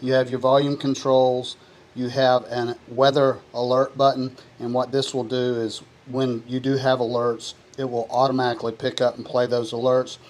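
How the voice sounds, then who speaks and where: A man presenting a product review, explaining features one by one in a plain, steady way. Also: mid-range voice, moderate pace.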